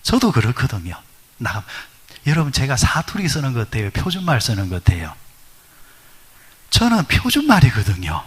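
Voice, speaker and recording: A man's voice: mid-range voice, wildly swooping pitch, moderate pace, pauses often, husky. A middle-aged man preaching a sermon at a midweek prayer service. He talks conversationally, asks the congregation questions, and uses many fillers. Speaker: pastor.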